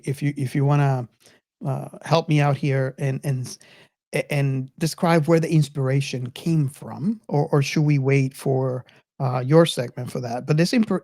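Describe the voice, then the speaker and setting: A podcast host talking casually to listeners and co-hosts, haltingly and searching for words. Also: medium pitch, gravelly, darkish tone, no room sound, clean studio sound.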